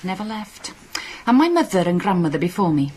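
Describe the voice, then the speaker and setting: An actress playing a curious, probing, lonely Welsh village gardener in an intimate radio drama. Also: female voice, lowish voice, very animated, fairly quick, background noise. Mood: inquisitive.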